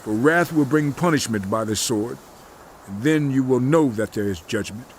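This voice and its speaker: Guy acting anguished bible sufferer, mid pitch man's voice, impassioned dramatic pleading, bit of background noise. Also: normal speed, close to an everyday voice. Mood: stern warning. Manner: impassioned, theatrical delivery.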